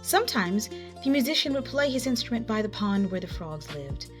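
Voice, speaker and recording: A woman's voice: medium pitch, big pitch swings, fairly quick. Woman storyteller over background music. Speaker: storyteller and host. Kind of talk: expressive children's folktale narration. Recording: music behind the voice.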